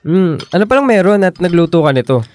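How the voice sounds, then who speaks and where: An actor playing a young, sweet, easygoing boyfriend in a radio drama, coaxing and earnest in casual conversational dialogue. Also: male voice, sits high, very animated, quick pace, darkish tone, slight background noise. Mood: cheerful, affectionate. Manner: casual, coaxing, hesitant stammers and chuckles.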